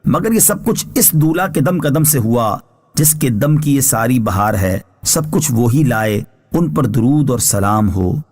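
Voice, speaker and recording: A man with a mid-pitched voice reads scriptural translation and commentary. His delivery is measured and formal, like a scholar explaining a text from a script. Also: expressive, darkish tone.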